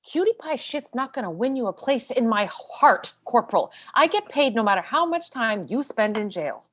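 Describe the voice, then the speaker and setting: Woman playing a high-voiced, combative female military lawyer. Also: sing-song, normal speed. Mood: frustrated. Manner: hard-hitting, insistent questioning with sarcasm. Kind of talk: stage play read-through.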